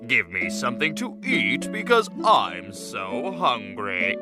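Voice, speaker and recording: Slow, greedy rich man played by an actor. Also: medium pitch, very animated, music in the background. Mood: demanding. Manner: demanding, emphatic. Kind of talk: children's fairytale cartoon acting.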